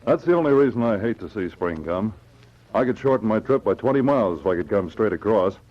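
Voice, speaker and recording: An actor playing a brave, commanding Mountie sergeant in a vintage radio adventure, speaking with firm, exclamatory delivery. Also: male voice, lowish voice, expressive, a little fast. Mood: relaxed. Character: brave, commanding Mountie sergeant who drives a dog team. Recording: muffled, noisy old radio recording.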